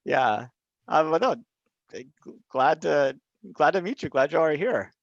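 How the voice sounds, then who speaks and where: A man's voice: on the high side, expressive, measured pace, creaky, no room sound. A man from Southeast Texas talking casually and unscripted, explaining things in a hesitant, rambling way as an interviewer. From Southeast Texas, USA. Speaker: interviewer running a video project. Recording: dull lo-fi call audio.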